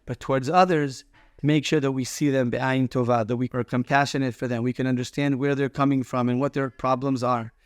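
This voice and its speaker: A man's voice: mid-range voice, flat delivery, a little fast, smooth, no echo. A man speaking as a rabbi, giving a short explanatory Torah teaching with emphatic repetition.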